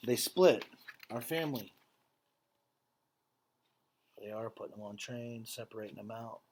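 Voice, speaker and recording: A man reading a picture book aloud like a teacher to students, with measured phrasing and casual explanatory asides. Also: on the high side, mellow.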